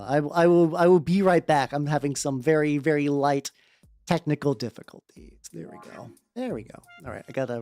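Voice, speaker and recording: A livestream host with a very animated, swooping delivery and a mid-pitched voice, recorded dry and close. The talk is casual and rambling, full of slang, swearing and repeated phrases, with music playing faintly behind. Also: no room sound. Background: background music.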